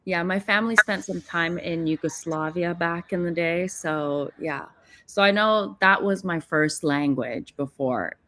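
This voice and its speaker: Serbian-speaking woman guesting on a stream, low-ish voice, dry sound, explains things earnestly. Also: measured pace, bright, forceful tone, dry recording. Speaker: guest YouTuber. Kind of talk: casual live-stream chat.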